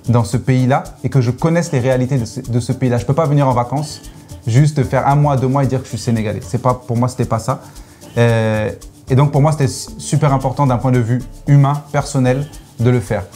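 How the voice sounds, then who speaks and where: Young man, from Senegal, mid pitch, playful, joking, lots of fillers, faint music behind. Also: a little fast, dark tone. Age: young adult. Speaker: guest, content creator. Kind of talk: casual interview chat.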